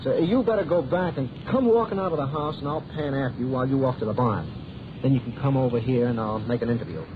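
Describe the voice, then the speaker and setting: A man's voice: medium pitch, quick pace, close to an everyday voice. An actor playing a nervous, wisecracking American newsreel cameraman, hesitant and slangy, in vintage radio drama style. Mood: brisk. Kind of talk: 1930s-40s radio acting. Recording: muffled, noisy old radio recording.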